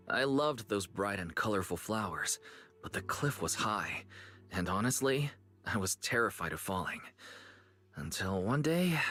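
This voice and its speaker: A man's voice: on the low side, sharp tone. An actor playing a kind, easygoing young man in an anime-style video game, talking in a friendly, reassuring way. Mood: reflective, reassuring. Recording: background music under voice.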